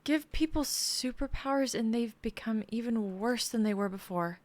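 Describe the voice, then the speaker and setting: A woman's voice: a little high, moderate pace, natural voice. A young woman playing a protective, worried store worker in a dramatic script reading. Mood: bitter, uneasy. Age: young adult.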